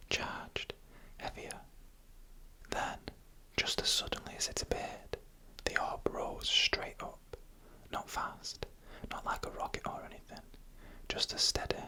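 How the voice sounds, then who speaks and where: Whispering ASMR storyteller, bright and breathy. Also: poor audio. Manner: slow, hushed, deliberate storytelling in an unvoiced whisper. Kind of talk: whispered ASMR narration of scary first-person stories.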